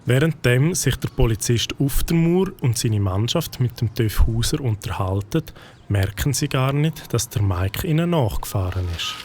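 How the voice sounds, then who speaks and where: A man narrating a children's audio drama in a calm storytelling manner. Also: mid-range voice, normal speed, a little hiss or hum.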